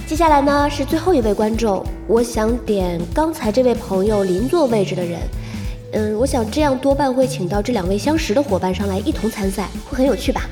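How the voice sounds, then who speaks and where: A woman playing a gentle, demure young esports star with a playfully hesitant manner in an audio drama. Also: on the high side, animated, fairly quick, lightly acted. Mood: thoughtful, playful. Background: music.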